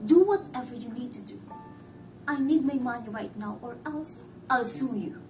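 A woman's voice: fairly high pitch, moderate pace, natural voice. A woman acting in a stage play as a stern, demanding moneylender. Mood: threatening. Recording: echoey distant recording with loud music.

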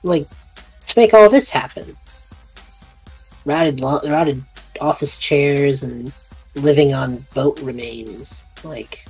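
A man plays a curious, worried young male adventurer in a tabletop game. He talks casually and hesitantly, in a light voice with soft music behind. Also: medium pitch, slow pace, pauses often, husky, poor audio.

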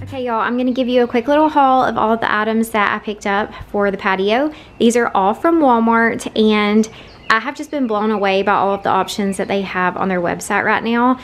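A woman's voice: on the high side, fairly quick. A young woman, narrating her home vlog in a chatty, rambling way with plenty of fillers. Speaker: home vlogger. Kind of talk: casual voice-over narration.

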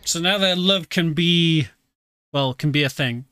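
A man's voice: on the high side, unhurried, clean tone, dry recording, soft background music. A man with a British accent gives casual, chatty commentary on a game as he plays. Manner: relaxed, chatty, explaining as he plays. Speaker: streamer.

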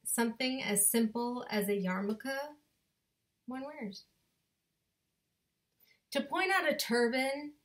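A woman in her thirties recites her own spoken word poem into a webcam in a home bedroom. She takes her time, with a slow, rhythmic pace and deliberate pauses, and her voice sits slightly high for a woman. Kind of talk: spoken word poetry performance. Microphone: webcam at desk distance.

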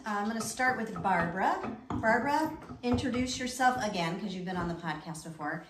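A woman's voice: medium pitch, animated, a little fast. A woman hosting a podcast, chatting in an upbeat, loose way. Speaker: podcast host. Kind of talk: casual podcast chat. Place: dining room in a home, at a large table. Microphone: far room camera. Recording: roomy and distant, with noise.

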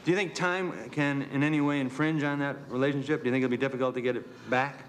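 A man's voice: medium pitch, fairly even intonation, fast talker. A man co-hosting a talk show, friendly and jokey with his guests.

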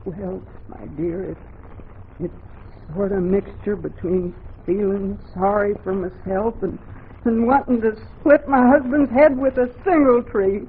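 Actress as blunt older frontier wife, old radio. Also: female voice, mid-range voice, a little slow, close to an everyday voice. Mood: hurt, bitter. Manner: scolding, folksy, blunt. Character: stern, plain-spoken, hardworking older frontier wife. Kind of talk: 1940s radio drama acting. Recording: muffled, noisy 1940s radio recording.

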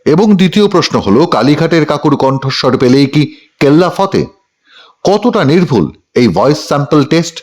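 Animated, higher-pitched male news narrator. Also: a little fast.

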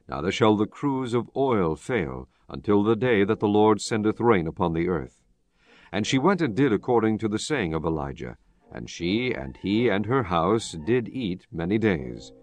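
A man narrating a dramatized Bible from a script in a solemn, measured storytelling manner. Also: lowish voice, sing-song, no room sound.

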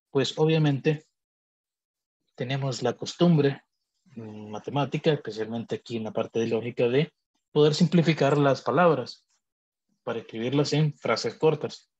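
A man teaching math in a slow, deliberate way, stopping now and then to rephrase a point. His voice is slightly husky, set at an ordinary middle pitch, and recorded close on a computer mic. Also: a little mellow, highs cut off. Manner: measured, deliberate, thinks aloud with pauses and self-corrections. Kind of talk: explaining logic notation on a digital whiteboard.

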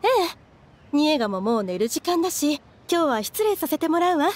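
A woman's voice: high-pitched, very animated, moderate pace, a little mellow, a little hiss or hum. A woman playing a refined, guarded, controlling motherly woman, speaking in a polite, ladylike way in an anime game. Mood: polite, composed. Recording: background music under the voice.

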